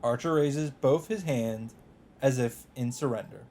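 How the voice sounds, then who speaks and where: A man's voice: mid-range voice, measured pace, a little mellow, a little hiss or hum. Man dramatically reading a visual novel. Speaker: let's play host reading the game's text aloud.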